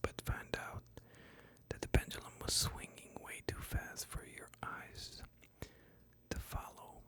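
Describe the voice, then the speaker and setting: A host whispering a sleep hypnosis session in a breathy, soothing, deliberate way, phrase by phrase. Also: pushed and bright.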